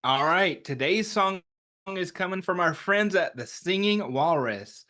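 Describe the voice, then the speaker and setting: A man's voice: high voice, lively intonation, a little slow, pushed and bright. A man hosting a story time, talking to young children in simple, encouraging, chatty language. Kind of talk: read-aloud and chatty address to young children.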